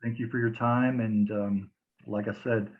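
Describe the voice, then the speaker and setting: A man's voice: fairly low pitch, level tone, normal speed, narrow, tinny audio. A man interviewing a guest over a call, talking hesitantly with many fillers and restarts. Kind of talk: casual interview chat.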